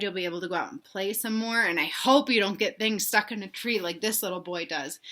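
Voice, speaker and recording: A woman reading a children's storybook aloud in an animated, emphatic way, pausing to put questions to young listeners. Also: mid-range voice. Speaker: read-aloud host for children.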